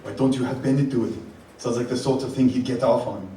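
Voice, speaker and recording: A hard-bitten military hero out for revenge as a man plays him on stage, terse and deadpan in a radio play. The sound is distant and reverberant, with clear background noise. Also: medium pitch, fast talker, natural voice. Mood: grim defiance. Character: tough, vengeful male action-hero colonel and father. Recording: echoey, distant, noisy live room.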